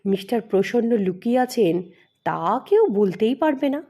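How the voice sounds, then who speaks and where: A woman's voice: a little high, expressive, normal speed, warm tone. A woman narrating a scripted mystery story as an audio storyteller.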